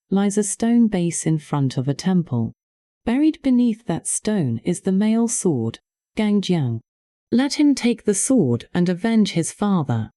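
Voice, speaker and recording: A woman voicing a grave, doomed male swordsmith in storybook narration, solemn and deliberate. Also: fairly low pitch, dark tone, no room sound, studio quality.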